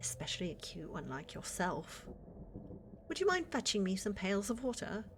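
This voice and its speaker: A woman's voice: mid-range voice, big pitch swings, moderate pace, noisy background, close to an everyday voice. A woman playing a flirty, motherly witch shopkeeper in an ASMR fantasy roleplay, with a coaxing, teasing manner. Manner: coaxing, teasing, addresses listener as dear.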